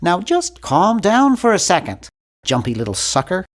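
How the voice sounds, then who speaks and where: A young, timid nerd from a cartoon adventure game. His pitch sits high for a man and swings widely from phrase to phrase. He sounds prim and bookish, with a wry, fussy edge. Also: wildly swooping pitch, normal speed, full character voice. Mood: flustered. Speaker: game character voice actor.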